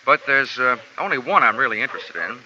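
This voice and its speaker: A man's voice: mid-range voice, expressive, quick pace, sharp tone, slight background noise. An actor playing a wisecracking male insurance investigator who also narrates, dry and matter-of-fact. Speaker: narrator and lead. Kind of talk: 1950s radio drama acting and narration. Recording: lo-fi old radio broadcast with music.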